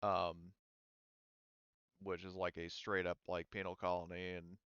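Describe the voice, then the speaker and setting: Male game master, low smooth voice, informal explainer, slightly dull dry close sound. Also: completely flat delivery, unhurried, a bit bright, no room sound. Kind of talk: casual tabletop roleplaying game talk.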